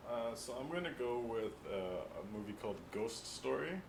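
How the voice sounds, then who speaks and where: A man's voice: mid-range voice, expressive, moderate pace. A man on a podcast panel, chatting casually and explaining films in an earnest, rambling way. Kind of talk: casual chat about films. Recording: echoey room, clear background noise.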